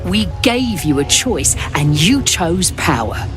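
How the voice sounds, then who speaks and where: A woman's voice: fairly low pitch, sing-song, takes it slowly. An actress playing a stern, resolute female monster hunter from a video game, solemn and declamatory. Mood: stern appeal. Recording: music behind the voice.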